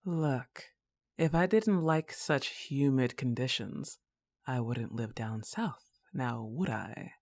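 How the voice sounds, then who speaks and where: A woman's voice: very deep voice, slow, a little mellow, full character voice. A woman playing a laid-back, teasing, slightly annoyed young goth roommate in a roleplay audio, with a sarcastic, casual drawl. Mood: playfully defensive. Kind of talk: acted roleplay ASMR monologue.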